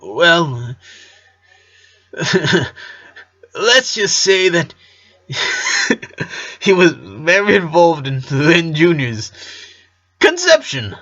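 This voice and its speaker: A man's voice: a little high, very animated, drawn-out words, long pauses, sharp tone, lo-fi sound, voice pushed up, heavily acted. A man voicing an easygoing, chatty middle-aged father from a storybook, fond of teasing and explaining. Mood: embarrassed, amused.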